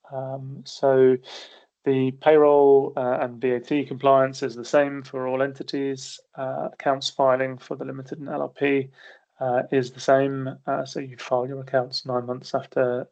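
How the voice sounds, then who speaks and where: Gravelly, flat-voiced man losing his voice. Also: mid-range voice, dull audio. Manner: methodical, steady explaining with frequent small restarts. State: losing his voice, occasional coughs. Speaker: accountancy firm partner presenting a webinar. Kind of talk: explanatory business webinar talk.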